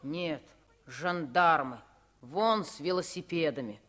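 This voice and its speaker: A woman's voice: deep voice, lively intonation, takes it slowly, fairly bright tone, full character voice. An actress playing a cocky, sardonic, self-assured streetwise boy in a 1950s radio drama.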